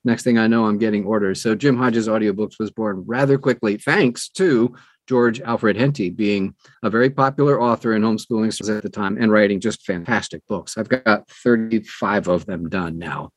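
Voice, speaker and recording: A man's voice: on the low side, moderate pace, no echo. A middle-aged or older man chatting casually as an interview guest, telling stories and acting out bits of dialogue. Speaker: interview guest, audiobook narrator. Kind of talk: casual conversational storytelling.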